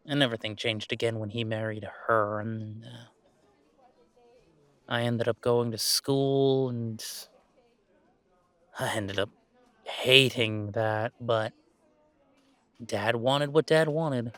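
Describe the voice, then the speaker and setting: Guy playing a chill young werewolf gamer, takes his time, kinda wry and halting, super dry close sound. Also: mid-range voice, a bit bright, no room sound. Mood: resigned, reflective. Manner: casual, halting, wry, conversational. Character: laid-back young male werewolf gamer, wry and chatty. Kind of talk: intimate roleplay audio, naturalistic one-sided conversation.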